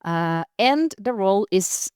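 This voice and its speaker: A woman's voice: medium pitch, expressive, slow, a little mellow, clear, resonant tone, no room sound, studio quality. A woman from Costa Rica, a podcast guest, telling her career story with frequent fillers, restarts and light laughs. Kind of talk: interview conversation.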